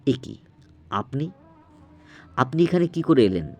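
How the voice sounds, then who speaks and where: A man's voice: medium pitch, big pitch swings, slow pace, darkish tone, voice pushed up, full character voice. A man playing a terrified man cornered by a ghost in a horror audio story, stammering out short gasped questions. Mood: panicked.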